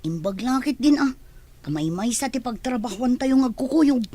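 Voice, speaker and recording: A woman's voice: on the high side, moderate pace, mellow, dry recording, natural voice. A young woman acting an eager female graduate in a radio drama, with an Ilocano Filipino accent. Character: excited young female graduate bound for work abroad.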